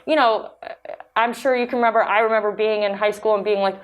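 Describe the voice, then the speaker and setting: A woman teacher whose first language is Ukrainian talks in a relaxed, chatty way with a middling pitch. She keeps dropping "you know" into her sentences as she explains her classroom. Her delivery is conversational and open. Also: fast. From Philadelphia. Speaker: high school teacher. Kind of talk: casual conversation.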